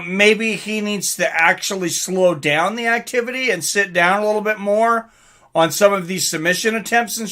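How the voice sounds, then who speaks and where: Male live fight commentator, high bright voice, informal and excitable, chatty streamer delivery. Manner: excitable, informal, swearing, reacting aloud. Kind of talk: live fight commentary mixed with casual chat to viewers.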